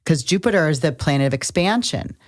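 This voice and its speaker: A woman's voice: low voice, lively intonation, fairly quick, dry recording, studio quality. A middle-aged woman co-hosting a podcast, talking casually and conversationally, often thinking aloud as she goes. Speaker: podcast co-host. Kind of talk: casual chat about astrology.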